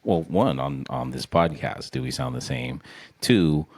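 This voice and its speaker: A man's voice: a bass voice, lively intonation, a little slow, a little mellow, no room sound. A young adult man co-hosting a podcast in a laid-back, teasing casual chat. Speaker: podcast co-host.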